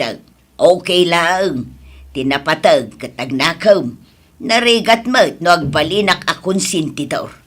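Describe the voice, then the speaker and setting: A woman's voice: low voice, a bit bright, exaggerated voice. A woman plays a long-suffering middle-aged mother with an Ilocano accent. She is stern and exasperated, pressing each point emphatically in the manner of radio drama.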